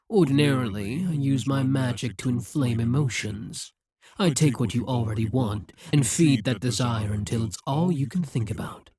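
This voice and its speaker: A man's voice: medium pitch, a little mellow, husky. A man voicing a possessive, scheming male demon king in an audio roleplay, talking in a coldly menacing, coaxing way. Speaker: voice actor.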